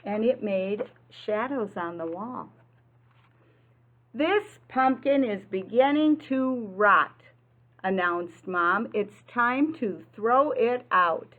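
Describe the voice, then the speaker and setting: Slow-paced woman teaching young children. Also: medium pitch, lively intonation, phone-quality sound. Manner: simple, explanatory, prompting children with questions and repetition. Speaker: preschool teacher. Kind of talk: children's lesson and picture-book read-aloud.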